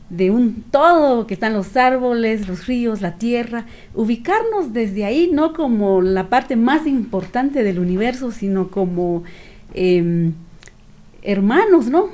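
A middle-aged woman with a Guatemalan accent giving earnest, reflective interview answers in a measured way, with pauses. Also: on the high side, mellow. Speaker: activist guest. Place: small radio studio with several people. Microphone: studio microphone on stand.

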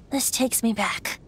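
A woman's voice: high-pitched, heavily acted. A woman voicing a youthful, playful and wistful male wind-spirit bard in a video game, with a lilting, theatrical storytelling manner. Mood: playful, casual. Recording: music playing behind. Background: background music.

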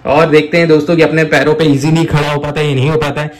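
Man, fairly high pitch, excitable, exclamatory, enthusiastic toy presenter. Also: fast talker, noisy background. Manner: excitable, repetitive exclamations of amazement. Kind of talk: enthusiastic hands-on toy showcase, addressing viewers as friends.

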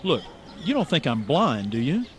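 Brooding, bitter hack writer played by an actor. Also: male voice, on the high side, sing-song, normal speed, slight background noise. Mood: insistent. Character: bitter, hard-drinking hack writer, man, lovelorn and stubborn. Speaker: actor and narrator. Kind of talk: radio drama acting and first-person narration.

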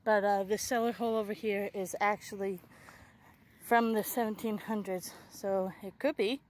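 A woman in her thirties, an excited and chatty metal detecting vlogger, talking casually to camera outdoors in an open farm field. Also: mid-range voice, moderate pace. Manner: excited, chatty, self-correcting. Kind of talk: casual outdoor vlog narration. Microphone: handheld or body camera close up.

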